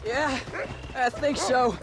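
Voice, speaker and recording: A man's voice: very high voice, slow, over music, poor audio. An actor playing a resentful, defiant adolescent boy in a video game. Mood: sullen, defiant. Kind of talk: video game cinematic acting.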